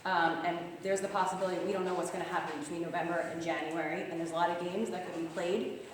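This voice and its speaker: Fast-talking Long Island woman, hoarse. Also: fairly low pitch, flat delivery, slight background noise. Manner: fast-talking, earnest appeal. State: losing her voice. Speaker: campaign manager. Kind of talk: speech at a club meeting. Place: meeting room. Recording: echoey hall from far off.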